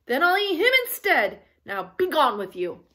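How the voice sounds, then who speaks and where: Woman putting on a loud, bellowing, greedy storybook troll. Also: a little high. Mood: greedy, excited. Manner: roaring, bellowing, drawn-out threats. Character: big ugly male troll, hungry, bullying, greedy. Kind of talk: animated children's storybook reading.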